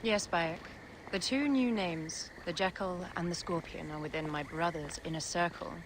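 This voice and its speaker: An actress playing a regal, calculating Egyptian queen in a game, composed, deliberate and commanding. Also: on the low side, background noise, lightly acted. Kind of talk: video game cutscene acting.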